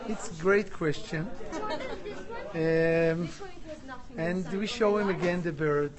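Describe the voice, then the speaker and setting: A woman with a fairly low voice speaks casually in halting, non-native English as an interviewed puppeteer. She searches for words, and crowd chatter is audible behind her.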